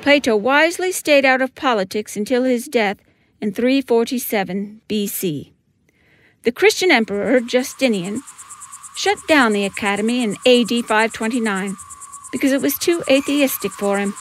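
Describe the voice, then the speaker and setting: A woman's voice: on the high side, lively intonation, normal speed, slight background noise. A woman reading scripted comic narration with theatrical, wry emphasis. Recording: music behind the voice.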